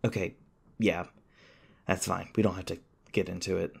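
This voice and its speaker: A man playing a shaken, apologetic young human in an audio roleplay, speaking hesitantly with stammers and halting phrases. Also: low-pitched, steady tone, gravelly, slightly rough, full character voice. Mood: bewildered. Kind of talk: ASMR audio roleplay, naturalistic acting.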